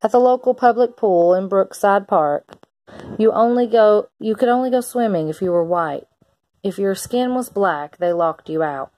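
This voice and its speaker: A woman's voice: medium pitch, moderate pace, dark tone, dry recording. A woman reading a children's picture book aloud like a teacher, expressively acting out the characters' lines.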